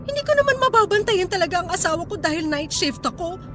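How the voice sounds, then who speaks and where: Casting: a betrayed wife in radio drama, high voice, emotional and feisty, music underneath. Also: female voice, big pitch swings, fast. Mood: hurt, tearful. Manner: emotional, plaintive, exasperated. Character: tearful, feisty betrayed wife and working mother. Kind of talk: Filipino radio drama acting. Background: background music.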